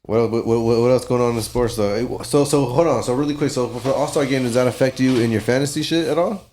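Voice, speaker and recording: A man's voice: medium pitch, fast talker, a little mellow, a little hiss or hum. A man co-hosting a podcast, rambling in casual chat and hedging his opinions. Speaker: podcast co-host.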